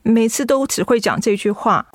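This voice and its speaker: An actress playing a caring, anxious young daughter in a radio drama, speaking earnestly and solicitously. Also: female voice, on the high side, normal speed, natural voice. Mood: coaxing, concerned. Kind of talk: Mandarin radio drama acting.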